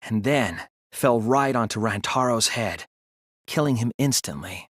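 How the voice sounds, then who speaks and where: A sensitive teenage boy detective in a dramatic game dub. His mid-pitched voice moves widely and expressively, earnest with an anxious edge. The sound is dry and studio-clean. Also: animated, fairly bright tone, husky, no room sound. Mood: grave, deductive. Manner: earnest, measured explaining that turns to strained pleading. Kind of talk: dramatic English video game dub acting.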